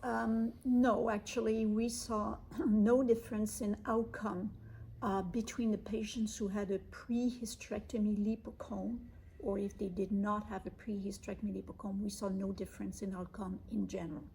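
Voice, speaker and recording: A woman speaking as an interviewed medical expert, explaining clinical research in a measured, cautious way. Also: medium pitch, level tone, creaky, mellow, slight background noise, low-quality recording.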